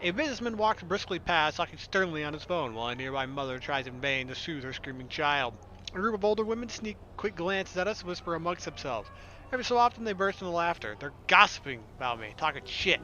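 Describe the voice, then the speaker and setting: Lively, higher-voiced man doing a let's-play. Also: fast talker, muffled recording, a little hiss or hum. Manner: joking, sarcastic asides. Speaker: gaming video host. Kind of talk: casual let's-play commentary and read-aloud narration. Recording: game music behind the voice.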